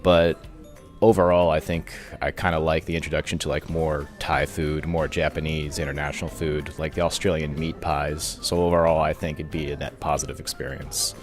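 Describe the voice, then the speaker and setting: A young man from Philadelphia with a Philadelphia accent talks casually as an interviewed student. His voice is extremely deep, and faint music plays behind him. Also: little pitch movement, normal speed. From United States.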